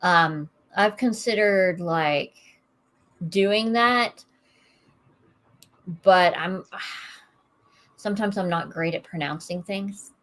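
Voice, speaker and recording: A woman hosting a casual evening chat stream, talking in a relaxed way with many fillers and restarts, her voice fairly flat in tone. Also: mid-range voice, very slow, long pauses. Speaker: host.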